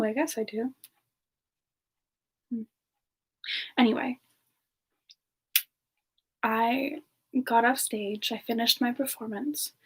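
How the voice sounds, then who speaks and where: A woman playing a bashful, soft-spoken siren ice spirit, telling her story slowly and hesitantly in a semi-ASMR roleplay. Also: on the high side, full character voice. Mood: wistful, measured. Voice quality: soft, breathy, slightly creaky. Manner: slow, hesitant, reminiscing with pauses.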